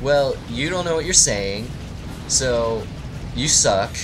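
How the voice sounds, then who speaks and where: A man's voice: mid-range voice, expressive, takes it slowly, low-quality recording. A man voicing nagging, demon-possessed talking hair that scolds in an improvised comic story. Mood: scolding. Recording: music behind the voice.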